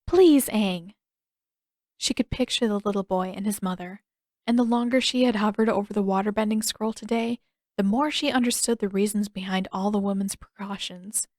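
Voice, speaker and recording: Female audiobook narrator, mid pitch, reading fiction in a dry recording space. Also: fairly even intonation, fairly quick, darkish tone, no echo. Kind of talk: scripted story narration.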